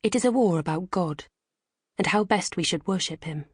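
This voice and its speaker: Husky woman narrating an audiobook. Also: on the low side, expressive, moderate pace, no echo, clean studio sound. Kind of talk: scripted dramatic audiobook narration.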